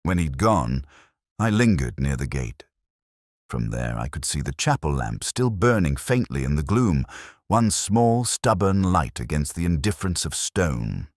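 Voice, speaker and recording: A man playing a melancholy, world-weary English police inspector, narrating his own story in a measured, literary, reflective way. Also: a bass voice, sing-song, no echo, clean studio sound, exaggerated voice. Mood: melancholy, solitary. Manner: measured, brooding, literary delivery. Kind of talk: scripted first-person narration, literary detective drama.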